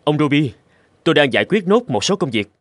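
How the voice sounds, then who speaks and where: A man's voice: on the high side, very animated, fairly quick. A man voicing an earnest, humble young office worker in a cartoon, polite and a little hesitant. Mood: eager. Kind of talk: cartoon dubbing.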